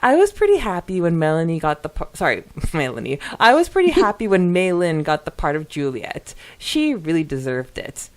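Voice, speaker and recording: A woman's voice: fairly low pitch, wildly swooping pitch, moderate pace, hardly any pauses. A woman co-hosting a podcast, giggly, reading out listener comments in a casual chatty style. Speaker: podcast co-host.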